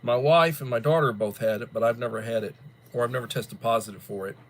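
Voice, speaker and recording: A man hosting a casual livestream, chatting to viewers while still stuffed up and coughing from the flu, in a rambling, drawn-out way. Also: medium pitch, rough recording. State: congested, coughing after flu. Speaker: livestream host. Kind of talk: casual chat greeting viewers.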